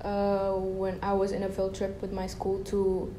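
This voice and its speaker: A woman's voice: medium pitch, completely flat delivery, unhurried, mellow, reverberant, slight background noise, poor audio. A young woman from Indonesia giving hesitant interview answers full of fillers, indoors by a projection screen. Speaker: interviewee, design student.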